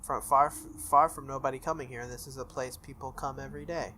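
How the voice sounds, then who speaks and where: A man's voice: medium pitch, steady tone, fairly quick, pushed and bright, a little hiss or hum. A man reading a visual novel aloud as a let's play host, with casual irreverent asides.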